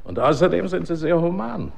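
A creaky, animated, persuasive boss. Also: male voice, fairly high pitch, a little fast, slight background noise, full character voice. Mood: insistent, persuasive. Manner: smooth-talking, insistent, formally polite. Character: pragmatic, persuasive male boss of a chemical weapons works. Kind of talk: German radio drama acting.

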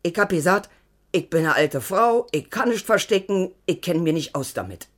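Husky, anxious old Berlin woman. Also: fairly low pitch, animated, normal speed. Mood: uneasy, confiding. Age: older. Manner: colloquial, rambling, pleading. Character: anxious old East German woman, homely and devoted. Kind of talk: naturalistic radio drama acting.

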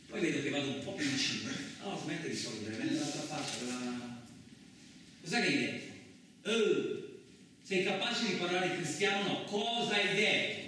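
A man acting in a radio play as a gruff, crude truck driver who tells his story in blustering, rambling, colloquial speech. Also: medium pitch, natural voice. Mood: curious, grumbling, then mocking, exasperated. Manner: blustering, rambling, conversational, mildly swearing. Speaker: actor in a solo radio play. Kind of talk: colloquial theatrical radio monologue. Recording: roomy, echoing distant miking with faint noise.

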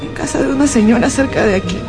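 An actress playing a shaken witness who testifies in a halting, solemn, emphatic way in a radio drama. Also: female voice, a little high, over music, natural voice. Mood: shaken, accusing.

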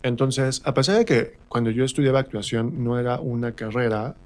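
A man's voice: medium pitch, a little fast. A man with a central Mexican accent, telling personal stories casually as a guest in a radio interview, acting out remembered remarks as he goes. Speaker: interview guest, stage actor.

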